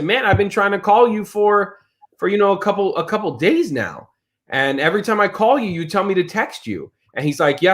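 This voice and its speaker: A man in his thirties in casual chat, telling stories with lots of fillers and lively swings in his voice. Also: a little high. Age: 30s. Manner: animated storyteller, many fillers.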